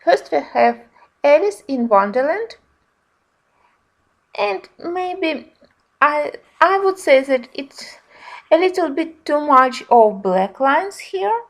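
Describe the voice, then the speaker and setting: A woman giving a casual review narration in simple, slightly halting non-native phrasing. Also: sits high, expressive. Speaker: colouring book reviewer.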